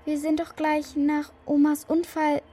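A woman's voice: high voice, monotone, unhurried, warm tone. An actress playing a distraught, stubborn young girl in a children's radio play, urgent and pleading. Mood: disbelieving.

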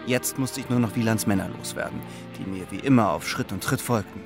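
Man acting double agent, low voice, wry, laconic, confiding, radio crime drama, music underneath. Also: fairly quick, natural voice.

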